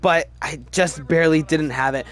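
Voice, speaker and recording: A man giving casual, opinionated commentary as a video host, emphatic and halting, often restarting his sentences. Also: on the high side, steady tone, fairly bright tone. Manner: chatty, halting, restarts sentences mid-word. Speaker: movie review video host. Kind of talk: casual ranked-list movie commentary.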